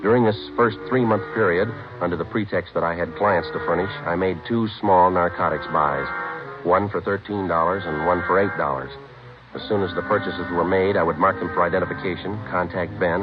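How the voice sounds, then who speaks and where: An actor playing a terse, hard-boiled undercover cop posing as an impatient gangster in a 1950s radio crime drama. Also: male voice, low voice, lightly acted. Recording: muffled old radio recording with music.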